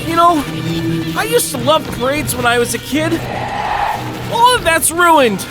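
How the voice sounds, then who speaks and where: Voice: sits high, very animated, measured pace, loud music behind the voice. An actor playing a panicked, whining character fleeing for their life, pleading breathlessly in an audio drama. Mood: bitter, frantic. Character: panicked, whining man named Jake fleeing for his life.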